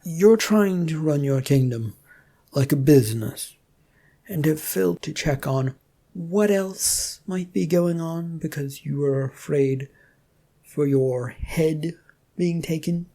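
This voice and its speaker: A woman's voice: low voice, takes it slowly, dark tone, full character voice. A woman voicing a blunt, weary male adventurer in an audio storybook, plain-spoken and sardonic. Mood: skeptical.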